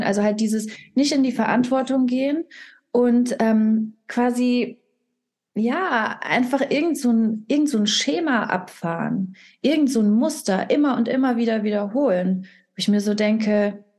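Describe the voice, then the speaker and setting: A woman's voice: on the high side, moderate pace, darkish tone. A young woman talking candidly as a podcast guest, rambling and dropping English phrases into her speech. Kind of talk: casual podcast conversation.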